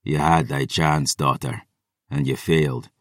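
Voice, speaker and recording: A man's voice: a bass voice, steady tone, a little slow, creaky, clean studio sound, voice pushed down, exaggerated voice. A man voicing a cold, proud, mocking undead warlord in an audiobook, with archaic, imperious phrasing. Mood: stern contempt. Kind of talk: dramatic audiobook fantasy dialogue. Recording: roomy echo, distant from mic.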